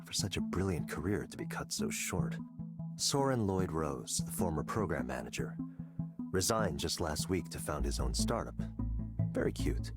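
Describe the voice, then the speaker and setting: A smug, calculating executive in a visual novel game, with a very low voice that swings expressively. He talks in a measured, condescending way, over prominent music. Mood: cool, appraising. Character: smug, calculating male tech company boss. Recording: loud music bed under voice.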